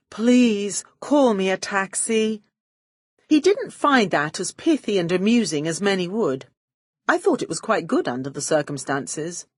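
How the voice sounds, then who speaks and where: A woman's voice: on the low side, no echo, clean studio sound. A woman reading a first-person detective story as an audiobook narrator, with a wry, arch and poised delivery.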